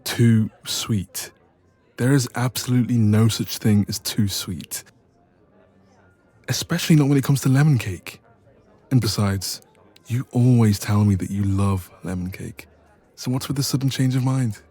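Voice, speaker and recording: A man's voice: mid-range voice, measured pace. A man playing a playful, teasing young best friend in a romantic roleplay audio, chatting casually and intimately. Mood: playful disbelief. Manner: playful, teasing, expressive, soft laughs.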